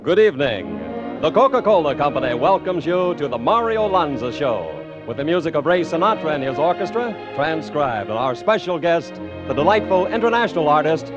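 A man's voice: fairly high pitch, lo-fi sound. A man announcing a 1950s radio show in a formal, showy build-up style on an old broadcast recording. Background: orchestral music.

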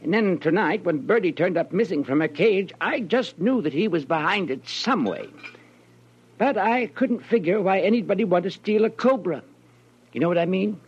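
An actor playing a fussy, earnest, mild snake farmer in 1940s radio style, prim and chatty. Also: male voice, high-pitched, expressive, moderate pace, husky, full character voice. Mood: puzzled. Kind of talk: 1940s radio character acting. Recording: dull vintage radio recording.

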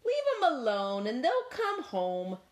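A woman's voice: fairly high pitch, wildly swooping pitch, a little slow. A woman doing a grumpy, sleepy storybook dog. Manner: mumbling, sarcastic.

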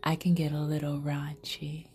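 A woman's voice: low-pitched, monotone, normal speed, a little mellow. A woman hosting her own podcast, improvising erotic storytelling in a sultry, half-whispered, intimate way. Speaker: podcast host.

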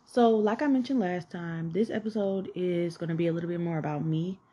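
A woman's voice: lowish voice, fairly quick, dark tone, slightly rough, lo-fi sound. A woman in her twenties from Atlanta with a Southern accent, hosting a podcast and candidly telling her life story in a chatty way, swearing and saying "like" a lot. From Atlanta, Georgia. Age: 20s. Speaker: podcast host. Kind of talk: casual personal storytelling.

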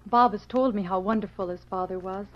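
A woman's voice: medium pitch, a little fast, slight background noise, natural voice. Young female actress as courteous, sweet sweetheart, vintage radio drama acting, dulled sound. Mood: gentle, curious. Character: polite, sweet young bride-to-be. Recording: muffled old radio recording.

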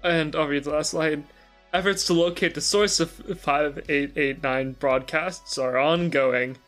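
A man's voice: a little high, steady tone, a little slow, a bit bright, soft background music. A man co-hosting a live stream in casual, bantering chat.

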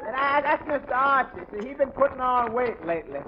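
Over loud noise and a crowd on an old radio recording, a genial waiter chatters away. His voice is extremely high for a man. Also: expressive, brisk. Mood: chatty, amused. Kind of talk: 1940s radio comedy acting. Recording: noisy dull old radio, audience sounds.

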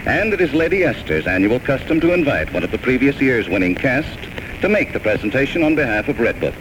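A male radio host presents formally in 1940s fashion. His pitch moves in lively swings through a very noisy old broadcast. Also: a little fast.

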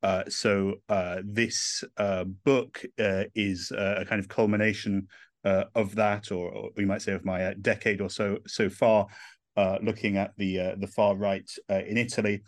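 A man's voice: fairly low pitch, flat delivery, moderate pace, no echo, clean studio sound. A man in his thirties with an English accent, speaking as an author in an interview, thoughtful and full of "uh" fillers. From England. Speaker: author and guest. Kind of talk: podcast interview.

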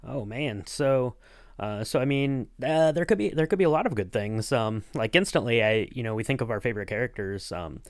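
A man hosting a podcast in casual chat, talking in a halting, rambling way. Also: mid-range voice, expressive, dry recording, studio quality. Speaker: podcast host and interviewer.

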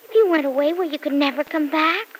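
A woman's voice: sits high, fast, natural voice. An actress playing an earnest, polite little girl with a simple childlike manner in an old-time radio serial. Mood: earnest, sad. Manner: simple, childlike, matter-of-fact.

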